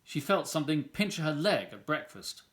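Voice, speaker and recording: Animated man reading a children's story. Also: a little high, moderate pace. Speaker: volunteer story reader. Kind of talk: children's picture book read-aloud.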